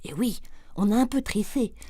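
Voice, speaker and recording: Woman voicing a lively cartoon guide. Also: mid-range voice, normal speed, natural voice. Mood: chirpy. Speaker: narrator figure of an animated film. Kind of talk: animated documentary narration.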